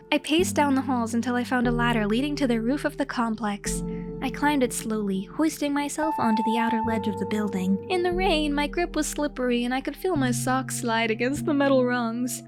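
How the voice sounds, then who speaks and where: A woman reading a scripted first-person story in a theatrical manner, as a narrator. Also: high-pitched. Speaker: streamer narrating own written story.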